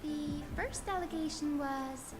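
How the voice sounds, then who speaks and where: A woman playing a young, knowledgeable, chatty assistant lecturer in a game, articulate and expressive as she explains things. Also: high voice, slow pace. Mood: animated, amused. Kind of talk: scripted game dialogue, explanatory storytelling. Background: background music.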